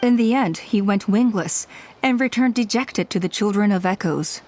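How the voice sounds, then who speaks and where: A woman voicing a calm tribe elder in a video game who speaks in a measured, patient way and counsels caution. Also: medium pitch, background noise, natural voice.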